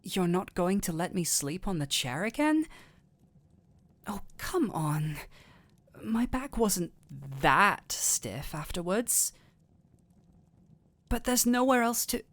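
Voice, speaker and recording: A man acting a gentle, weary, protective village doctor in an intimate fantasy roleplay, soft-spoken and hesitant, often breathy. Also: high voice, sing-song, slow, pauses often, no room sound, full character voice. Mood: gentle, flustered. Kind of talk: intimate roleplay audio drama.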